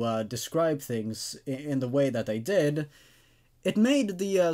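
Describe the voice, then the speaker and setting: A man's voice: on the high side, a little mellow. A man hosting horror story readings, moving between narration and informal, casual commentary. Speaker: host narrating horror stories.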